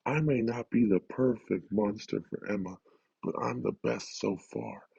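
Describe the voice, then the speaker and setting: A man voicing a gruff, bossy monster with a gravelly growl, in a storybook reading. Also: on the low side, a little slow, mellow, no echo, muffled recording, pitched below the performer's own voice, full character voice. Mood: grumbling, resigned. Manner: gruff, blunt, commanding.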